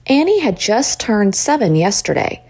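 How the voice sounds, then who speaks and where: A woman reading a children's story aloud as an expressive narrator. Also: medium pitch, moderate pace, husky. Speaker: storybook reader.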